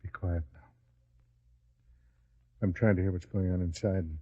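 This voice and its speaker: A brooding, weary disciple with a very low voice, guarded and terse. It is radio drama acting on a dull, lo-fi recording. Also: male voice, flat delivery, unhurried. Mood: troubled, impatient. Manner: hushed, terse, heavy-hearted. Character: weary, secretive, brooding adult male disciple.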